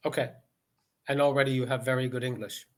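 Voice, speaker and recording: A man's voice: medium pitch, little pitch movement, quick pace. Patient man tutoring English. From United States. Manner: patient, often repeats okay and rephrases questions. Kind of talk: casual conversation lesson over video call.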